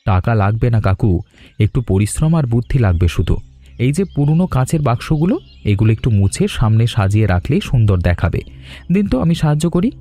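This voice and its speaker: A man as a very mellow, courteous young traveller. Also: medium pitch, very animated. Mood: friendly, helpful. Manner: polite, measured, earnest. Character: courteous, reassuring young male traveller with business sense. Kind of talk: cartoon drama dialogue. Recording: music under the voice.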